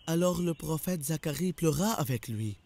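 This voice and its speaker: A man playing a respectful, pious servant in an animated religious drama, earnest and deferential. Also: a little high, normal speed. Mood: reverent.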